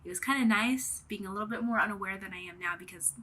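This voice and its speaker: A young woman with a bright, crisp voice talks openly to camera in a reflective personal vlog, a little sniffly from a cold. She rambles candidly, full of fillers, and now and then drops to a soft whisper. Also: mid-range voice, fast talker. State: has a cold, a bit sniffly. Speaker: vlogger.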